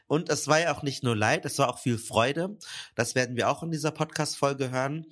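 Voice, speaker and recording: A man co-hosting a podcast, with a cold, introducing the episode in a casual, lively way. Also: mid-range voice. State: has a cold. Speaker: podcast co-host.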